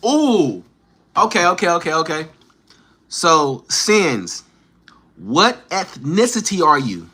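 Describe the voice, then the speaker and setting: Dude ribbing trolls in his live chat, slangy, hits em with quick questions, bright punchy voice. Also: on the high side, very animated, breathy edge. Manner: teasing, challenging, rapid-fire questions; slangy street talk. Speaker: livestreamer. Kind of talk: casual livestream banter with chat.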